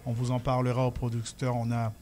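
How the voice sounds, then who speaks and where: Québécois man, radio host, chatty and joking, mid-pitched everyday voice. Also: completely flat delivery, a little fast, a crowd in the background. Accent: Québécois French. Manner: chatty, joking, asks loose rambling questions. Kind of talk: casual radio interview. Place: radio studio by a street-facing window.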